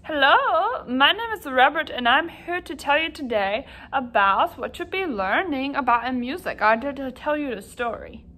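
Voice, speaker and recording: A woman's voice: sits high, expressive, normal speed, hardly any pauses, pushed and bright. A woman voicing a chatty, self-important duck puppet who tells stories dramatically for a children's lesson. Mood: cheerful, announcing.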